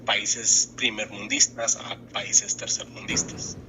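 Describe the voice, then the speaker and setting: A man, answering interview questions in a casual, thoughtful way, often pausing and restarting to find his words. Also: lowish voice, fairly even intonation, noisy background. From Mexico-US border. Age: 20s. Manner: thoughtful, pauses and restarts while searching for words.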